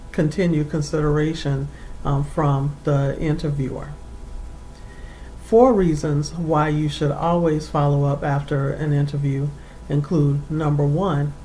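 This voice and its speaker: Career coach for an advice segment: medium pitch, formal and deliberate, semi-scripted instruction, slight rumbling background noise. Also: darkish tone, poor audio.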